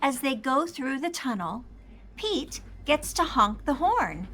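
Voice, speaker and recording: A woman reading a children's storybook aloud and asking young listeners questions, in an expressive storytelling manner. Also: high-pitched, a little hiss or hum. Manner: slow, expressive delivery aimed at young children. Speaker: children's storybook reader and host. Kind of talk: scripted read-aloud storytelling with quiz questions for kids.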